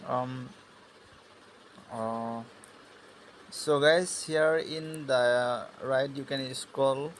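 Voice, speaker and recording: A man giving a casual tutorial voice-over in a halting, hesitant and repetitive manner. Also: medium pitch, fairly even intonation, a little hiss or hum, poor audio. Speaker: tutorial host.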